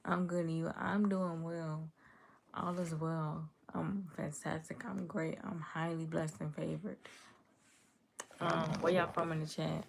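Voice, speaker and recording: A woman from Chicago hosts a casual livestream. She chats easily and answers viewers' comments aloud. Her voice sits low and stays level, with a gravelly edge. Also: level tone, measured pace, slight background noise. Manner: easygoing, chatty, reads chat comments aloud and answers them. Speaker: livestream host.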